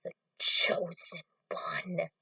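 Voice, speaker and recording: A woman's voice: lowish voice, little pitch movement, vocal fry, bright, forceful tone, no echo, recorded from a distance, voice pushed down, heavily acted. Woman putting on a whispering cold villain. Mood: menacing. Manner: slow hissing whisper. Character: sinister male villain, slow cold menace.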